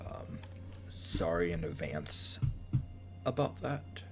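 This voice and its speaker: A man with a stuffy, congested voice from a cold, chatting casually on a gaming stream, halting and apologetic with many ums. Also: sits low, very animated, vocal fry, music in the background.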